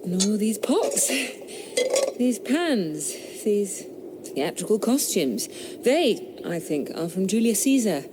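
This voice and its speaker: A woman's voice: medium pitch, very animated, slow pace, noisy background. An actress playing a wry, amused eighteenth-century lady. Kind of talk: radio drama acting. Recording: audio drama with woodland ambience.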